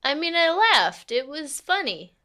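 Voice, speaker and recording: A woman's voice: sits high, sing-song, a little slow, bright, forceful tone, exaggerated voice. An actress playing a frank, principled lawyer and girlfriend in a radio play, speaking in a natural, articulate, matter-of-fact way. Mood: mildly exasperated.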